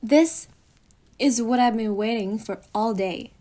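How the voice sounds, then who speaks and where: A woman plays a needy wolf girlfriend with a high voice and slow, drawn-out delivery. She is bossy yet affectionate, pouting and teasing in an intimate roleplay audio aimed right at the listener. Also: dark tone, lightly acted. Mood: smug, cuddly. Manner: pouty, pleading, teasing. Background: computer keyboard typing.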